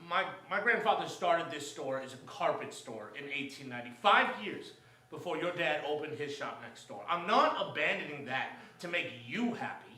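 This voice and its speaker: A man's voice: a little high, expressive, moderate pace, bright, forceful tone, distant mic. A man playing an exasperated, stubborn rival shopkeeper with an emphatic, repetitive delivery in a radio drama. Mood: exasperated, defiant. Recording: echoey stage, off from mic.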